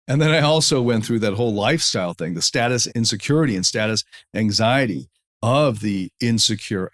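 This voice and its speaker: Man with a head cold, lower voice. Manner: earnest, preachy, builds long run-on lists. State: recovering from a head cold, voice lower than usual. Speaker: podcast host. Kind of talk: solo podcast monologue. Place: temporary room while traveling, echoey.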